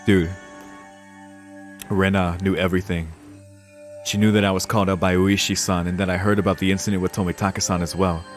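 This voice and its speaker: A man with a low voice streams a visual novel and reads its narration aloud. He is dramatic and casual, with sweary reactions, and faint game music plays behind him. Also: flat delivery, brisk, pauses often.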